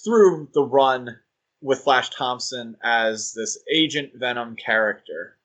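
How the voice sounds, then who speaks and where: A man's voice: medium pitch, slow, fairly bright tone, low-quality recording. A man co-hosting a podcast, talking casually and joking with many fillers. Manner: chatty, joking, with frequent fillers. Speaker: podcast co-host.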